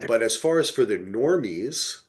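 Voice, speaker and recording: A man's voice: mid-range voice, animated, normal speed, a little mellow. A man hosting a casual podcast, explaining things in an emphatic, unfiltered way. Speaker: podcast host. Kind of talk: casual chat.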